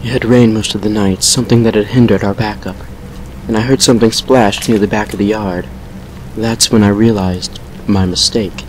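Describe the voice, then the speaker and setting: A man playing a brooding vampire who recounts a painful past with measured regret in an audio drama. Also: on the low side, fairly even intonation, darkish tone, a little hiss or hum, lightly acted, rough recording. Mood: grim, regretful. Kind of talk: fan fiction audio drama monologue.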